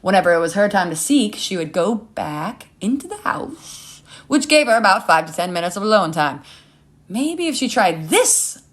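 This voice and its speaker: Animated woman narrating a comic story. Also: mid-range voice, normal speed.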